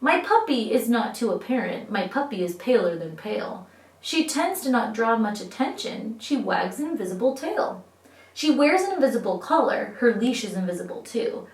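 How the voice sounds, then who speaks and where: Echoey, higher-voiced woman reading children's poems. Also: moderate pace. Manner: expressive, clearly phrased, addresses young listeners directly. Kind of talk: read-aloud of children's poetry. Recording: roomy echo, distant microphone.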